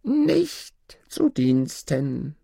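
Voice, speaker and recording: Guy doing a fussy little storybook ring dwarf, high pitched, singsong and swoopy, very polite. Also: wildly swooping pitch, extremely slow, vocal fry, dark tone, breathy edge, dry recording, studio quality, exaggerated voice. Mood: formal, refusing.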